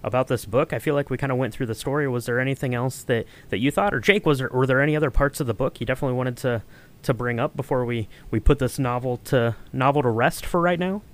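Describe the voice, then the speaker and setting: A man hosting a casual podcast discussion, thinking aloud in a halting way. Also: medium pitch. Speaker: podcast host. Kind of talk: casual discussion, informal chat.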